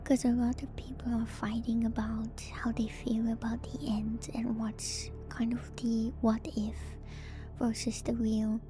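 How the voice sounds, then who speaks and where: A woman streamer whispering softly and breathily close to the mic in an ASMR style, gently repeating words as she reads and comments. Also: fairly high pitch, level tone, measured pace, over music. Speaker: VTuber streamer.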